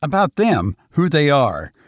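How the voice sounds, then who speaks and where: A man's voice: on the high side, huge pitch swings, a little slow, no room sound, studio quality, voice pushed up, full character voice. A man voicing a frantic, exhausted man who has fled invaders, speaking breathlessly and urgently.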